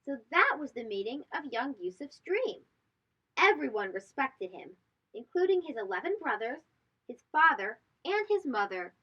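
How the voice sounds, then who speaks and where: Animated high-pitched woman reading to children. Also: normal speed, slightly rough, dull audio. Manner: animated, addressing young listeners directly. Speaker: host of children's read-aloud show. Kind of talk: expressive storybook narration for children.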